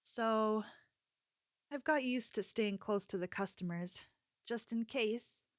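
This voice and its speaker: Woman voicing young female store clerk for ASMR roleplay: sweet, solicitous, slightly high, unhurried, soft, secretly possessive. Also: no echo, studio quality. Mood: sweet, attentive. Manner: gentle, apologetic, hesitant, solicitous.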